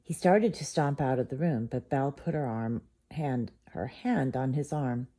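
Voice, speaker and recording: A woman's voice: a bass voice, normal speed, warm tone. A woman reading a children's bedtime story aloud as storyteller.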